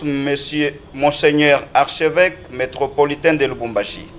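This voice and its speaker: A man's voice: fairly high pitch, slight background noise. Man reading a formal statement. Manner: measured, declamatory reading of recommendations. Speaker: civil society spokesman.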